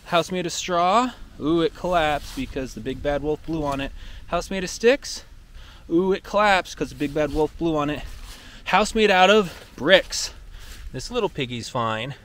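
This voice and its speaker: A man's voice: fairly high pitch, animated, measured pace, fairly bright tone, slight background noise. A man giving a playful walk-through of a decorated outdoor trail at night, narrating in a theatrical storybook manner while holding the camera.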